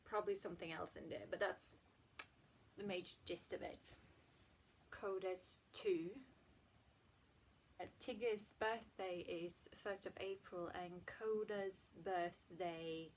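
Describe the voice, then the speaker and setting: Soft-spoken young Swedish woman. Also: fairly low pitch, steady tone, takes it slowly, a little hiss or hum. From Sweden. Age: 20s. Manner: chatty and soft, trailing into quiet, half-whispered asides. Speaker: vlogger. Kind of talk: casual vlog talk to camera. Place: home hallway.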